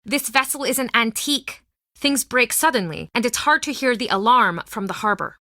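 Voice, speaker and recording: A woman's voice: on the high side, animated, normal speed, sharp tone, no room sound, studio quality. An actress playing a proud, guarded townswoman nursing old wounds in a video game. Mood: proud, earnest.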